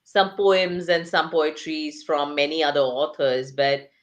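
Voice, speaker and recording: An earnest woman talks through her ideas in an interview, her voice sitting on the low side. She presses her points with repeated phrases and rhetorical questions and keeps a steady, engaged delivery. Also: a little slow. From Asia. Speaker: author and interview guest. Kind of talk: conversational interview.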